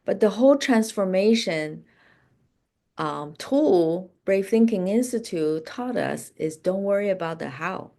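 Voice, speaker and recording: Low-pitched woman coach with unhurried delivery. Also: darkish tone. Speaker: life and business coach. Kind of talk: motivational coaching session.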